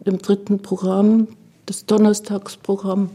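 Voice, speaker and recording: Slow, husky, dark-toned woman. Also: medium pitch, little pitch movement. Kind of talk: interview recollection.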